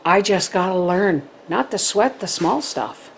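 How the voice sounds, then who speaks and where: A middle-aged woman chatting to her viewers as a homestead vlogger, telling stories in a lively way. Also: lowish voice, unhurried, a little hiss or hum. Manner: chatty, animated storytelling. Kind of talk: casual chat to viewers.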